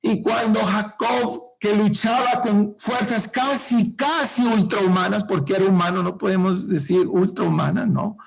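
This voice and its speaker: A man preaching a sermon to a congregation, with impassioned, drawn-out emphasis. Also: high voice, fairly even intonation, creaky, slight background noise. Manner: speaks directly to the congregation.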